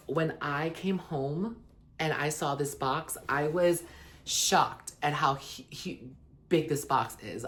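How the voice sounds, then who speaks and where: A man speaks with a hoarse voice, sitting lower than usual because he is sick. As the host of a casual collector vlog, he rambles earnestly through an unboxing and review, filling his talk with ums and likes. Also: fairly bright tone. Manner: eager and earnest about the doll. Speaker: doll collector and channel host.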